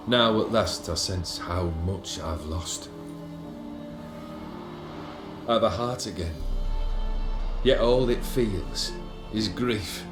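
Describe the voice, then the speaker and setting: A man's voice: low-pitched, reverberant. A man playing a proud, melancholy, world-weary nobleman in a video game, with an eloquent, formal and brooding delivery. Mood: grieving, bitter.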